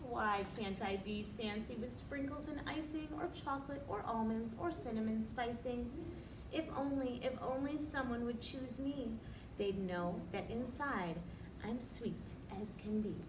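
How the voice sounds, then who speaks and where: A woman's voice: a little high, a little slow, lightly acted. A woman voicing a plump, plain storybook cookie, sad and envious, in a wistful sing-song lament. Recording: roomy, distant, noisy recording.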